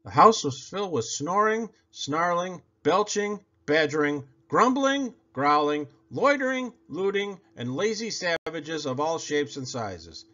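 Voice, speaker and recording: Very animated man reading a children's storybook. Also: a little high. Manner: theatrical, expressive delivery with drawn-out words. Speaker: storybook reader. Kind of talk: animated children's read-aloud. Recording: slightly dull, lo-fi audio.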